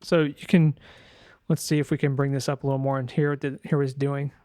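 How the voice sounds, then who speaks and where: A man's voice: mid-range voice, little pitch movement, quick pace, very noisy. A man giving an informal sound design tutorial, thinking aloud in a hesitant way as he demonstrates. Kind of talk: informal screen-share tutorial narration.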